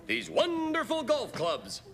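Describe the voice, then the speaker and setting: A man's voice: very high voice, big pitch swings, slow pace, a bit bright, exaggerated voice. A man voicing a showy cartoon auctioneer, declaiming his patter to bidders. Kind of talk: cartoon voice acting.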